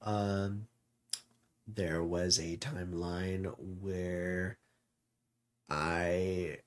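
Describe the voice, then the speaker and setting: A man with a fairly low voice talks as a streamer looking back on things. His delivery is very slow and halting, with pauses between phrases, in a casual, reflective style. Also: darkish tone. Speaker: streamer host.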